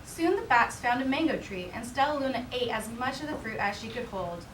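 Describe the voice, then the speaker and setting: A woman teacher reading a picture book aloud expressively to a class, in an animated way. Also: on the high side, fairly quick. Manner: animated, acts out character dialogue. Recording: roomy, distant mic with background noise.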